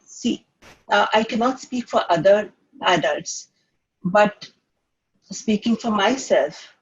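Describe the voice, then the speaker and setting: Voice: mid-range voice, slow, slightly rough, highs cut off. An adult children's author who stammers, answering at a panel with frequent repeated sounds and hesitations.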